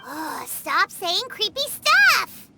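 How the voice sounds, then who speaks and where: A woman's voice: wildly swooping pitch, drawn-out words, creaky, over loud music, exaggerated voice. A woman voicing a tiny childlike fairy companion in a video game, blunt and easily spooked. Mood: grumbling. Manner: squeaky, whiny and easily spooked.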